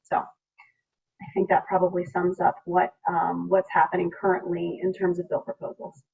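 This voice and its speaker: A woman's voice: fairly low pitch, monotone, moderate pace, creaky, a little mellow. An American woman legislator speaking earnestly and emphatically on a panel over a video call. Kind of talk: public panel remarks, speaking from personal conviction. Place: remote video meeting. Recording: distant, muffled video call audio.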